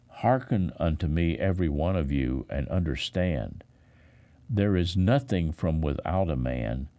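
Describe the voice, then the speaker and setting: A man with a deep, mellow voice reads scripture in a solemn pastoral style. He takes his time, setting phrases apart with emphatic pauses, and his intonation rises and falls widely. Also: gravelly. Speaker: pastor reading scripture aloud.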